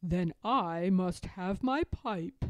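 A woman's voice: on the low side, lively intonation, drawn-out words, no room sound, studio quality. A woman voicing a jolly, emphatic old male grandfather bear in a children's storybook.

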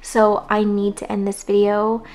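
A hoarse woman casually talking through plant care tips, relaxed and rambling. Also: medium pitch, a little slow. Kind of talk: casual voice-over talk.

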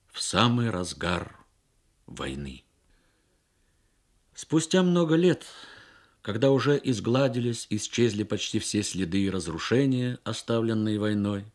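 A man reading literary prose as a dramatic radio narration, in measured, deliberate phrases with pauses. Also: medium pitch. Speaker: reader of a short story on radio.

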